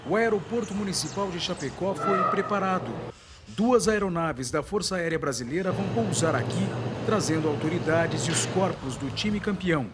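A man's voice: high-pitched, expressive, normal speed, very noisy. A man with a Brazilian Portuguese accent giving a solemn news report outdoors into a handheld mic. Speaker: field reporter. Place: outdoors at an airport and a stadium.

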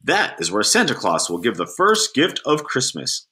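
A man's voice: medium pitch, huge pitch swings, moderate pace, sharp tone. A man voicing a grand, eager train conductor in a children's storybook, theatrical and emphatic. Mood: informative, eager.

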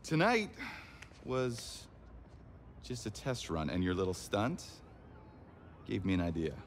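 An actor playing an intense, scheming, persuasive casino owner in a video game. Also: male voice, low-pitched, very animated, slow, a little hiss or hum, heavily acted.

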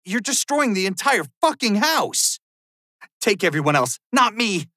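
An actor playing an arrogant, sleazy middle-aged American rich criminal, blustering and evasive in video game lines. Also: male voice, high-pitched, sing-song, unhurried, bright, forceful tone, no echo, studio quality, full character voice. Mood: panicked, outraged. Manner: foul-mouthed, blustering. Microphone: close studio mic, dry.

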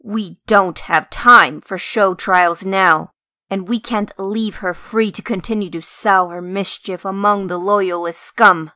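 Woman as a sharp, ruthless revolutionary zealot. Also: medium pitch, fairly even intonation, takes it slowly, no room sound, studio quality. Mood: impatient, ruthless. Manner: commanding, hard. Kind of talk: amateur comic dub acting.

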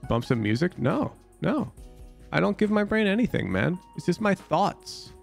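A male streamer talks casually to his chat in a voice that swings widely in pitch and carries a gravelly edge. He goes from loose musing to sudden loud bursts. Faint music plays under him. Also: normal speed. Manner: animated, jumps to loud exclamations. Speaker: streamer reviewing gameplay. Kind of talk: casual chat with live viewers.

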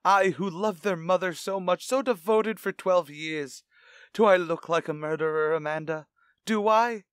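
A man's voice: high-pitched, a little slow, clear, resonant tone, dry recording, studio quality. An actor playing an anguished, self-pitying widowed father in an old-time radio play, melodramatic and distraught. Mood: distraught, wounded.

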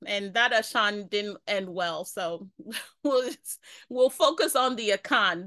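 A woman in her thirties joins a video call as a guest teacher. She explains in a halting way and fills her pauses. Her voice is bright and crisp, and the sound is dry and close. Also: mid-range voice, dry recording. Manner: explanatory, halting with fillers, smiles. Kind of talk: casual video-call discussion. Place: indoors, blurred background. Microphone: webcam on video call.

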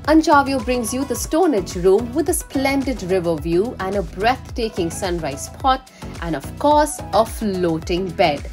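A woman reading scripted promotional travel narration in a polished, expressive way. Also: mid-range voice, measured pace. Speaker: voice-over narrator. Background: background music.

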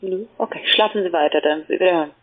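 A woman working as a call centre agent, delivering a scripted sales pitch over the phone. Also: fast talker. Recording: tinny radio-like phone line.

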